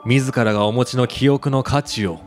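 An actor playing a polite, deliberate, persuasive corporate recruiter with sinister intent in a radio drama. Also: male voice, medium pitch, soft background music, natural voice. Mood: insinuating. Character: smooth, insinuating male corporate recruiter for a sinister game. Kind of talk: Japanese radio drama acting.

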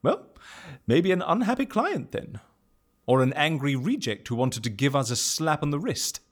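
Excitable death-faking agent, theatrical, wordy, animated intonation, comedy audio drama. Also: male voice, on the high side, moderate pace, no room sound, clean studio sound. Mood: musing. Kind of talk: comedy fiction podcast acting.

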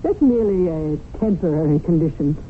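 An actress playing a calm, self-possessed, ambitious woman in a 1970s radio drama. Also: sits low. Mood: calm, scheming. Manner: calm, deliberate. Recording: muffled, noisy 1970s radio broadcast.

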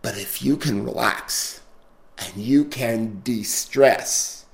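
A man's voice: mid-range voice, slow, creaky. A man coaching pronunciation in class, speaking off the cuff, bluntly and with repetition. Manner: blunt, repeating corrections. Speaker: pronunciation teacher, linguistic consultant.

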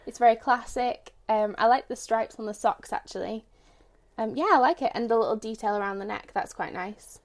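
A woman's voice: fairly high pitch, fairly quick. A woman giving easygoing, plain-spoken opinions as a guest on a casual podcast. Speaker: guest reviewer. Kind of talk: casual chat.

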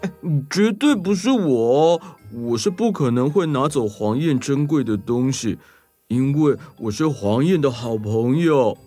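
A man's voice: medium pitch, expressive, a little slow, mellow, faint music behind. A man voicing an earnest, easily offended male sea turtle in a children's detective story. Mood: indignant, defensive. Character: indignant male green sea turtle who loves singing.

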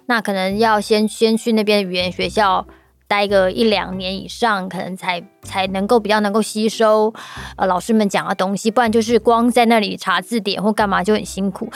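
Woman, Taiwanese Mandarin accent, podcast host, mid-pitched voice, casual conversational interviewing. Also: no echo.

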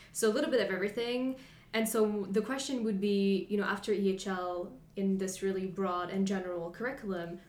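A young woman hosts a student podcast in a friendly, loose manner, using casual fillers as she asks her questions. Her voice sits at a middle pitch, and the room around her echoes. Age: 20s. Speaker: podcast co-host.

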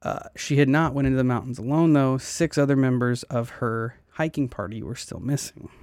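A laid-back man hosting a casual podcast who swears freely and sometimes trips over hard names as he tells a mystery story. His voice sits at a middle pitch and is recorded close on a dry mic. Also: normal speed, darkish tone, no room sound. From United States. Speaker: podcast host.

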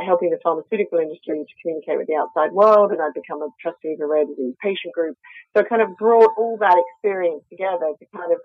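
A woman's voice: fairly low pitch, breathy edge, no echo. An Australian woman, a company founder, being interviewed and talking with many hesitant fillers. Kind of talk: interview over the phone. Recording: tinny phone line, dry.

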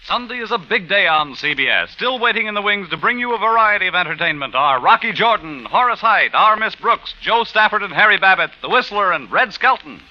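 A man's voice: high-pitched, animated, a little fast, few pauses. A man reading a network promo in a 1950s radio announcing style. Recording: muffled vintage radio recording.

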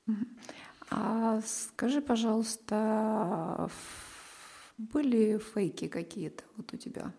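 A woman conducts a job interview, asking her questions in an unhurried, slightly hesitant way. Her voice is mellow and relaxed, with a gravelly edge of fry. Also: fairly high pitch.

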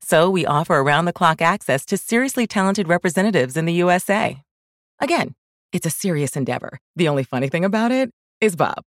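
Woman, modern ad narration, mock-serious deadpan, slightly low pitch, dry studio sound. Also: fairly quick, dry recording. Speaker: advertisement voice-over. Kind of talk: modern scripted commercial narration.

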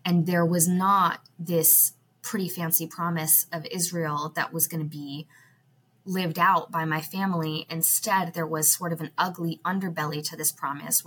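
A young woman with a slightly low voice, talking as a podcast guest. She speaks thoughtfully and pauses on fillers. There is a faint hiss behind her. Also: a bit bright. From United States. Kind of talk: podcast interview.

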